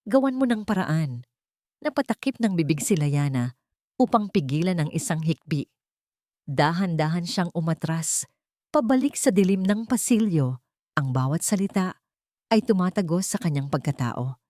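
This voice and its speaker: A woman's voice: on the low side, darkish tone, dry recording, studio quality. A woman narrator reading a scripted dramatic story.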